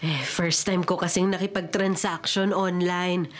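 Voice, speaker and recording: A woman's voice: lowish voice, fairly even intonation, a little slow, lightly acted. An actress playing a chatty, wary landlady in a Filipino radio drama. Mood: wary, businesslike. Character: chatty, wary landlady handing over an apartment. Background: dramatic music.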